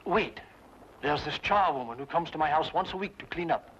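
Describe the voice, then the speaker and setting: Flustered prim English gentleman, British accent, very animated pitch, exclamatory, vintage radio drama, hissy old recording. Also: moderate pace, lo-fi sound. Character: fussy, flustered, indignant English gentleman householder.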